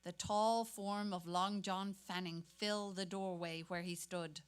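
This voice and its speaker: Woman narrator, scripted literary stage reading, average-pitched voice. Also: steady tone, measured pace, smooth.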